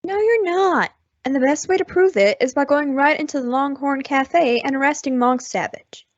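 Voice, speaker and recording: A firm, encouraging young frontier sweetheart, acted by a young woman, speaks in a high voice. It is a western radio play with a slightly dulled sound. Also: moderate pace, natural voice. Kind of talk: old-time western radio drama acting. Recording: dull lo-fi radio recording.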